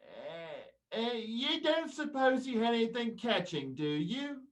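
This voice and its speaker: An actor playing a miserly, haggling old junk dealer in a radio play. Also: male voice, very high voice, big pitch swings, takes it slowly, full character voice. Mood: sly haggling. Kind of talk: stage-style radio play acting. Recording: slightly distant microphone.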